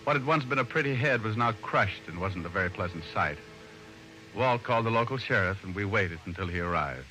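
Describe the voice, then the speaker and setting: A man's voice: on the low side, animated, a little fast, sharp tone, faint music behind, rough recording. An actor playing a wry, flippant male private detective, speaking and narrating in an old radio drama. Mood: dry, composed.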